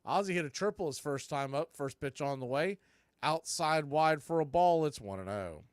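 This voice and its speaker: A man's voice: a little high, expressive, moderate pace, clean tone, no room sound, clean studio sound. A man doing live baseball play-by-play as a stream host, mixing clipped calls with folksy, joking asides and casual chat.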